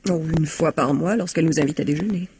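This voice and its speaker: A woman playing a defensive, indignant wife under police questioning in a radio mystery, with a breathy, husky voice and haughty manner. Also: deep voice, quick pace, a little mellow, slight background noise. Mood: evasive. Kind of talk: French radio mystery drama acting.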